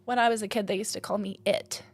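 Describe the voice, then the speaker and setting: A woman hosting a podcast in casual chat, giggly and teasing, talking in quick playful bursts. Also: medium pitch, fairly even intonation. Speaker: podcast host.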